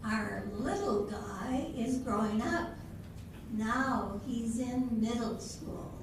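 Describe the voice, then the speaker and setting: A woman's voice: on the high side, takes it slowly. A woman reading scripted narration as narrator of a live stage reading. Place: senior center hall, live performance. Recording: echoey hall, distant mic, noisy room.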